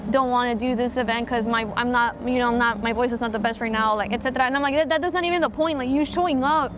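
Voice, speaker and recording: High-voiced Spanglish-speaking young woman. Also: fast talker, noisy background. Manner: switches between English and Spanish mid-sentence, animated. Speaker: mariachi singer and organizer. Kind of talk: casual interview conversation.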